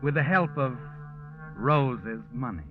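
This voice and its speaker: A man's voice: medium pitch, expressive, slow. An American man playing an ominous, all-knowing narrator in 1940s radio mystery style, insinuating and taunting with rhetorical questions. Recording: lo-fi old radio, organ music behind.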